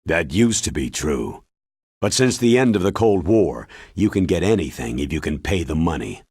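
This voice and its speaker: Solemn military commander, low-pitched, raspy, measured, authoritative, video game briefing. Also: male voice, sing-song, a little mellow, no echo, clean studio sound, full character voice. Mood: grave.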